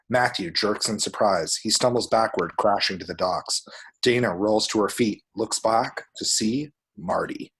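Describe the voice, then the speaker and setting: A man's voice: on the low side, vocal fry, a bit bright, husky. A man reading out a screenplay's action descriptions at a table read, with vivid, dramatic storytelling. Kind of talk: scripted screenplay narration with spoken sound effects.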